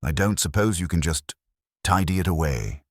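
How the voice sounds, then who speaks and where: A man's voice: deep voice, animated, moderate pace, slightly rough, no echo, clean studio sound. A man voicing a fussy, anxious town clerk with an officious, clipped manner in a story reading. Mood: coaxing.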